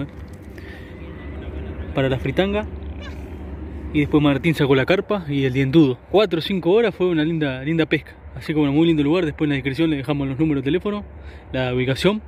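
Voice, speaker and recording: A man's voice: on the high side, a little mellow, slight background noise. A young man with an Argentine Spanish accent, chatting casually to camera as a fishing vlogger on a riverbank, often tagging his sentences with "eh". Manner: chatty, upbeat, tags sentences with "eh". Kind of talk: casual outdoor fishing narration. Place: riverbank outdoors.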